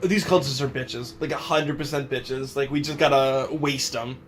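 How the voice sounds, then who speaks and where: A man's voice: mid-range voice, fairly even intonation, moderate pace, a little hiss or hum. Brash, sweary man at a game table. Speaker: player in a tabletop role-playing game. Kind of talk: casual chat at a game table. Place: in-person game session indoors. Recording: background music under the voice. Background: background music.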